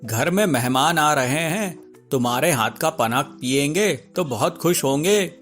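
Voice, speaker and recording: A man plays a kindly older uncle bird in a cartoon. He talks slowly and in an easygoing manner, with music behind. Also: a little high, expressive, fairly bright tone. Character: friendly older uncle bird, a regular customer.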